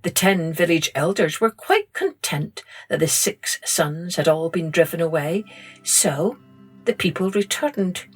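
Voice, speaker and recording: Slow, gentle woman storyteller. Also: on the low side, husky, soft background music. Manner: gentle, slow and expressive read-aloud. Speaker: storyteller and podcast host. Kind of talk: children's story narration.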